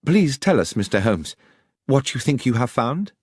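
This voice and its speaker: A man's voice: medium pitch, big pitch swings, normal speed, breathy edge, no room sound, clean studio sound, voice pushed up. A man voicing a young, genteel vicar with an insolent edge in a mystery audiobook. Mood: sceptical. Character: young male vicar, coolly genteel, insolent when accused of theft.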